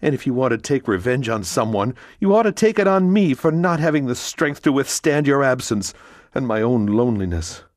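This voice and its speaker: A man's voice: medium pitch, sing-song, moderate pace, dry recording, clean studio sound, pitched above the performer's own voice, close to an everyday voice. A man voicing an anguished middle-aged noblewoman, a mother begging for her son's life in a desperate, imploring way. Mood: pleading.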